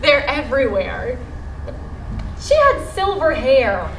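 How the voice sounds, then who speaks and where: Casting: haughty intellectual woman, thirties, very animated, slow deliberate delivery, live stage drama. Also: sits high, full character voice. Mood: skeptical. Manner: theatrical, intellectual, sprinkles in French. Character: urbane, indecisive, pretentious woman in her thirties, Isabella. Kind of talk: live stage play acting. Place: theatre stage. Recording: echoey theatre stage recording, room noise.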